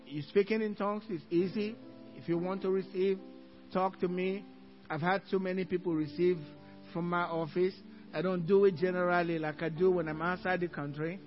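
A middle-aged Nigerian pastor with a Nigerian accent, preaching an evening sermon with emphatic scripture quotes and chuckling asides to his congregation. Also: high voice. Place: church sanctuary. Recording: dull lo-fi church recording.

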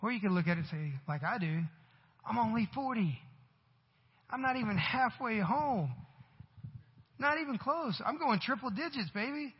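Male pastor, 40s, husky and high-pitched, conversational sermon with emphatic peaks; long hall reverb, dull recording. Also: animated, normal speed, pauses often. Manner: conversational preaching with rising emphatic bursts. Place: church sanctuary.

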